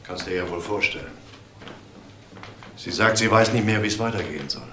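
Earnest man, lowish, echoey, distant. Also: moderate pace, pushed and bright. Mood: reproachful. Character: reluctant, earnest brother-in-law, reproachful. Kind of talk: dramatic dialogue acting. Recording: echoey, distant, clear noise.

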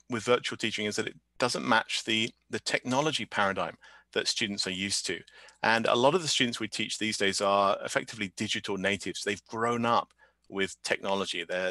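Man presenting a teacher webinar, bright voice. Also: on the low side, no room sound. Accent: non-Canadian English. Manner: friendly, thinking aloud with repeated words and fillers. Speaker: webinar speaker, teacher trainer.

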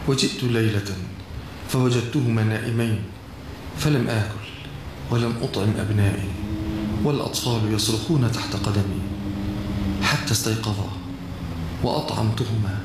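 Male actor as a devout young cartoon man pleading in prayer, lowish voice, heavy cave echo. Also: normal speed, pauses often, heavy background noise, close to an everyday voice. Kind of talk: Islamic children's cartoon dialogue.

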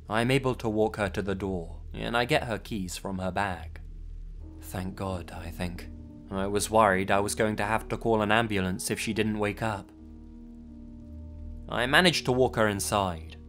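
A man's voice: lowish voice, fairly bright tone. A man narrating a true scary story in the first person, with tense, dramatic storytelling.

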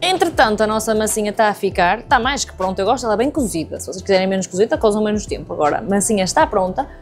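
A woman's voice: mid-range voice, lively intonation, very fast, soft background music. A young woman with a European Portuguese accent, chatting casually to viewers as she cooks in her home kitchen. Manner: chatty, addresses viewers directly while cooking. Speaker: cooking vlogger. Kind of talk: casual cooking tutorial.

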